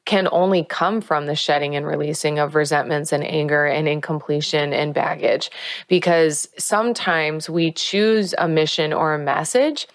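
Low-voiced woman teaching gently. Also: dry recording. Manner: earnest and coaching, slows down to stress key words. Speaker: spiritual teacher and author. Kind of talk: guided spiritual teaching.

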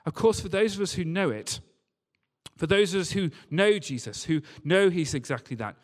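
A man's voice: high voice, normal speed. A man preaching a sermon to a congregation in an emphatic manner, using rhetorical questions and repetition. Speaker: preacher, church leader. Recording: reverberant hall sound.

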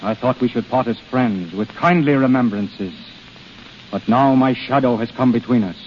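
An actor playing Death in human guise, a proud and anguished prince, in formal, theatrical 1930s radio drama style. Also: male voice, mid-range voice, normal speed, a little hiss or hum, close to an everyday voice. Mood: sorrowful, grave. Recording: muffled 1930s radio broadcast with long reverb.

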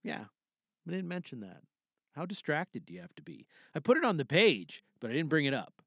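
A man, chatting as a podcast host in an animated, jokey manner. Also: a little high, a little fast, vocal fry, no echo, clean studio sound.

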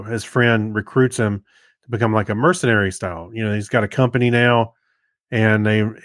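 A man co-hosting a podcast, giving casual opinions in a halting, meandering way. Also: fairly low pitch, fairly bright tone, dry recording, clean studio sound. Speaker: podcast co-host. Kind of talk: casual chat, informal film review.

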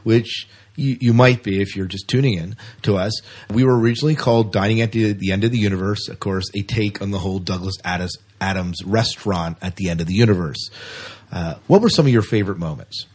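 A man's voice: fairly low pitch, fast, darkish tone, breathy edge. A man co-hosting a podcast in casual, chatty banter, with a jokey way of talking. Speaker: podcast co-host.

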